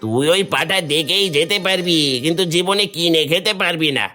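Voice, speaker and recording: A man's voice: fairly high pitch, brisk, pushed and bright, faint music behind. A man voicing a brash, sly, greedy village man in a cartoon story. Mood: mocking. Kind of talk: Bengali cartoon story voice acting.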